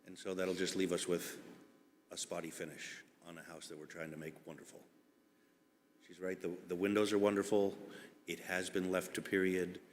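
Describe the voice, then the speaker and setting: A man giving informal public testimony as an applicant, explaining earnestly and haltingly with pauses. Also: low-pitched, flat delivery. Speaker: applicant, homeowner at a public hearing. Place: indoor public meeting room. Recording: echoey meeting hall with background noise.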